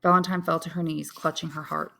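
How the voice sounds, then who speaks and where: A woman narrator reads literary prose from a script. Her voice is on the low side, with a clearly creaky texture. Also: little pitch movement, fairly quick, fairly bright tone. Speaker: audiobook narrator, English teacher.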